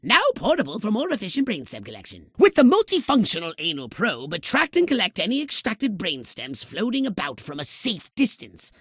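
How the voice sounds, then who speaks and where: A very high, bright-voiced alien commander, scheming and imperious. His pitch swings wildly as he hands out pompous, sarcastic orders in theatrical video game style, recorded very dry. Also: wildly swooping pitch, fairly quick, hardly any pauses, breathy edge, no echo, full character voice. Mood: salesmanlike, smug. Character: shrill, bossy, scheming alien commander giving mission orders.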